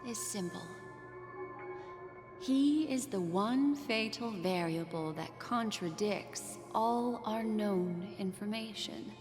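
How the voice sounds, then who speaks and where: A woman playing an enigmatic, composed keeper of memories in a video game, speaking in a measured, poised, soothing way. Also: mid-range voice, expressive. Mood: grave, deliberate. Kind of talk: scripted video game voice acting. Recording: long reverb, game music behind.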